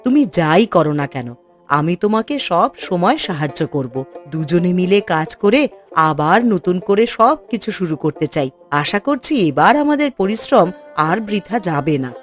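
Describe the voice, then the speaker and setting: A woman voicing a caring middle-aged village wife in a cartoon, speaking in a supportive, expressive way. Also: fairly low pitch, a little slow, soft background music. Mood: warm supportive. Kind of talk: cartoon voice acting.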